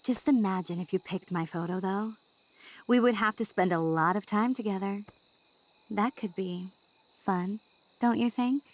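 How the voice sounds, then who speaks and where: Game role: woman as manipulative, entitled American student, coy and superior, mid pitch, slight background noise. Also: unhurried, lightly acted. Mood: coy, pushy. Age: young adult. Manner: coy, haughty. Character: scheming, entitled, manipulative rich-girl student. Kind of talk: video game voice acting.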